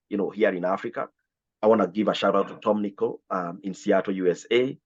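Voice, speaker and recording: A man's voice: mid-range voice, fairly bright tone. A man, the head of an education organisation, answering interview questions in an earnest way, stacking ideas into lists. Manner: earnest, repetitive, builds lists. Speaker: CEO of a VR education organisation, guest. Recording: slightly dull lo-fi sound.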